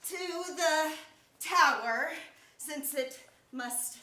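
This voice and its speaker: Woman acting a high, piercing young prince. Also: very slow, bright, forceful tone, exaggerated voice. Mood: brave, resigned. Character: young prince, a courteous, solemn royal boy. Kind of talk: theatrical Shakespearean stage acting. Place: theater stage before an audience. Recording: echoey stage, distant mic.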